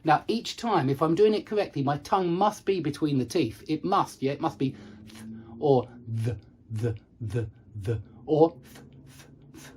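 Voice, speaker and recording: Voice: mid-range voice, a little slow, long pauses, a little mellow, slight background noise. An English pronunciation teacher giving a lesson, frank and self-deprecating, repeating examples with careful enunciation. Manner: self-deprecating, frank about own difficulty. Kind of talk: instructional lesson with demonstrated sounds.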